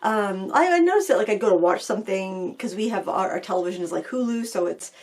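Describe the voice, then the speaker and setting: Middle-aged lady chatting at home, bit raspy from being sick, lots of ums and restarts. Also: mid-range voice, expressive, quick pace, darkish tone. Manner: rambling, many fillers and restarts. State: recovering from illness, a little raspy. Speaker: craft channel host. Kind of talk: casual chat to camera.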